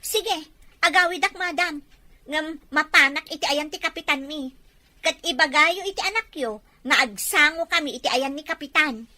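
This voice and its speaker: A woman's voice: high-pitched, moderate pace, sharp tone, no echo. A woman playing a proud, wronged young street vendor in a Filipino radio-style drama, firm and indignant. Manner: firm, indignant, respectful address.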